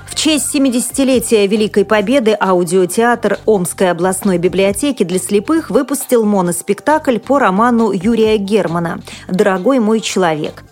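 A woman news presenter reading a scripted bulletin in a formal, measured way with careful enunciation. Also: mid-range voice, a little mellow.

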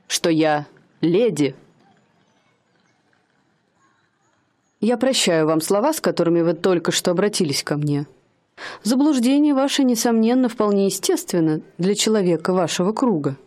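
A woman's voice: on the low side, mellow, close to an everyday voice. An actress playing a young woman who poses as a bored rich lady in a radio play, speaking in a haughty, mannered way. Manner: haughty, mannered, languid speech.

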